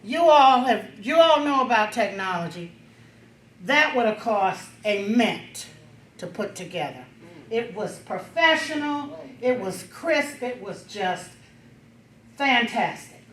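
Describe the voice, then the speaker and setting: Woman, seventies. Big projecting voice, unhurried and emphatic, plain-spoken informal speech in a reverberant room. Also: fairly high pitch, expressive, fairly bright tone, a little hiss or hum. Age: 70s. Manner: emphatic, outspoken, with pauses for effect. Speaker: reunion speaker. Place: echoey indoor gathering room. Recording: echoey room.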